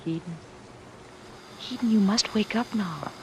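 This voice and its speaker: A woman's voice: medium pitch, unhurried, darkish tone, close to an everyday voice. Woman acting an anxious, devoted lover in vintage radio. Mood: tender. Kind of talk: old-time radio drama acting. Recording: noisy vintage radio broadcast.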